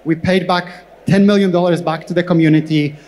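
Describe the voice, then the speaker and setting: Higher-pitched man speaking in an echoey hall. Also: steady tone, darkish tone. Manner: halting, repeats words as he explains. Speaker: tech company co-founder, panel guest. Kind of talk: on-stage interview answers. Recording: echoey hall with long reverb.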